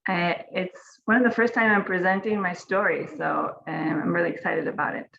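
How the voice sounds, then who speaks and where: A woman's voice: fairly low pitch, fairly even intonation, a little fast, gravelly, a bit bright, a little hiss or hum. A woman with an Israeli accent, Hebrew her first language, eagerly telling her story as a winemaker on a webinar panel over a video call. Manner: friendly, eager, with small restarts. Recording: lo-fi webinar audio.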